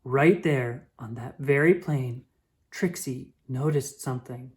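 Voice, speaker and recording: A woman reading a children's picture book aloud with expressive, drawn-out emphasis. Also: rumbling low. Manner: animated, dramatic emphasis with drawn-out words.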